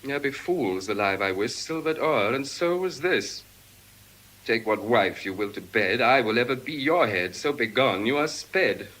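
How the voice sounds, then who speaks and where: Haughty aristocratic suitor, classical verse drama, grand declamation, highly animated intonation, mid-pitched. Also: male voice, a little slow, low-quality recording. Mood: indignant, dismayed. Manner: declamatory, pompous. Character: proud, pompous nobleman suitor, full of self-regard. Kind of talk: classical stage verse acting.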